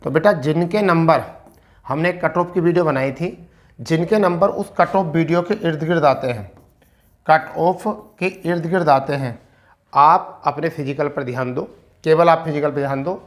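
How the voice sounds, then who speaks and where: A man's voice: fairly high pitch, moderate pace. A man giving an informal explainer to students as a coaching teacher, repeating key phrases for emphasis. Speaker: exam coaching teacher giving recruitment updates.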